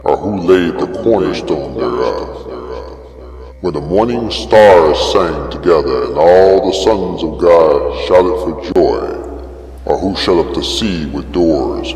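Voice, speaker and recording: Man voicing a thunderously deep, majestic God. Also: a little mellow, exaggerated voice. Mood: majestic, commanding. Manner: solemn, declamatory, challenging questions. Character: God speaking from a whirlwind, stern, majestic, commanding. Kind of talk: dramatic scripture reading. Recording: long cavernous reverb over music.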